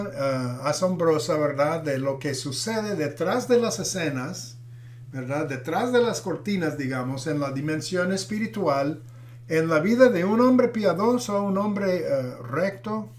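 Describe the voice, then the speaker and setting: Slow, halting pastor teaching in Spanish. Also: male voice, on the high side. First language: not Spanish. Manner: halting, many fillers and restarts. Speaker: pastor teaching a Bible class. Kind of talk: classroom Bible teaching.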